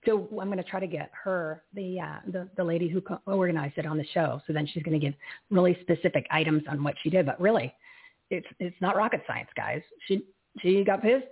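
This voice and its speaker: Raspy woman on a phone line. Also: fairly low pitch, brisk. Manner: rambling, loses her train of thought, laughs at herself. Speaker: radio show host. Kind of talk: casual live radio talk.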